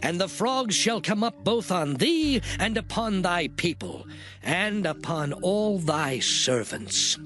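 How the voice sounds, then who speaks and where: A solemn ancient prophet in a scripture audio drama, proclaiming with weight and emphasis. His voice sits high and rises and falls in a lively way, with soft music behind. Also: slow, full character voice. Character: prophet Moses, solemn adult man messenger. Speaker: Moses in a dramatized Bible reading.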